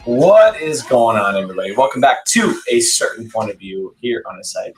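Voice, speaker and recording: A man with a hoarse, failing voice casually hosting a show. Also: mid-range voice, sing-song, fairly quick, faint music behind, poor audio. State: hoarse, losing his voice. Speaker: show host.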